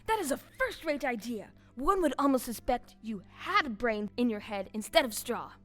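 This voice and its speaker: A woman's voice: fairly high pitch, lively intonation, normal speed, soft background music, close to an everyday voice. A woman playing a timid, fearful Cowardly Lion in a dramatic storybook audio play. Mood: impressed. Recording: live stage with faint audience noise.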